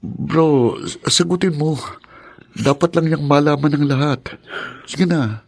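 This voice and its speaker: Gravelly Filipino man, loyal friend. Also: on the high side, takes it slowly, dry recording. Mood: sympathetic. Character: sympathetic, anxious young man, loyal friend Ronald. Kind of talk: Tagalog radio drama acting.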